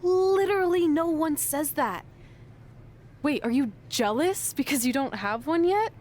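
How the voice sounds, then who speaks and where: A woman's voice: sits high, expressive, measured pace, a little mellow. A woman playing a supportive, teasing wrestler friend in a video game, speaking casually with noise in the background. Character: upbeat, teasing female wrestler, supportive best friend of hero.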